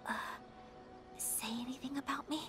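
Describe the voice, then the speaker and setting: An actress voicing a gentle, refined young noblewoman in a video game, soft and delicate, speaking politely and earnestly. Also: high voice, flat delivery, natural voice. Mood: shy, downcast. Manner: polite, formal, measured, earnest. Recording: game music behind.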